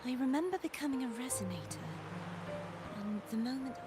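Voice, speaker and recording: A searching, solemn warrior woman for a video game. Her voice is high, her phrasing formal and earnest, and music plays clearly behind it. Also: lively intonation, a little fast. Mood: pensive. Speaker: game character voice actor.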